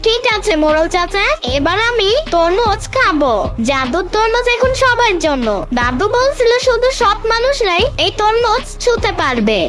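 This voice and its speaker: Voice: extremely high pitch, sing-song, moderate pace, loud music behind the voice. A performer voicing an eager, confident little village boy in a cartoon story, with a childlike, boastful manner. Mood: proud. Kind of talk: Bengali cartoon story acting.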